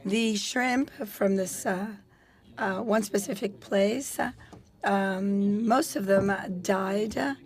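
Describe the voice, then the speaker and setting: A woman giving live interpretation of a panel, speaking in a halting way with many pauses and restarts. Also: medium pitch. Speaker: simultaneous interpreter.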